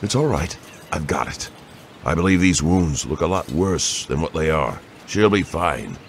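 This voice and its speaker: A man's voice: very deep voice, expressive, measured pace, full character voice. An actor playing a middle-aged soldier father who is urgent and protective, in a fantasy audio drama. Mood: soothing. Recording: noisy battle ambience behind voice.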